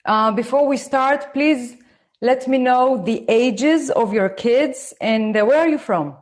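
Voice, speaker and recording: A woman in her forties from Israel with an Israeli accent, speaking slowly and carefully as she gives a live online talk to parents. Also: a little high. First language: Hebrew. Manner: slow, deliberate, and careful for her listeners. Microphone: phone.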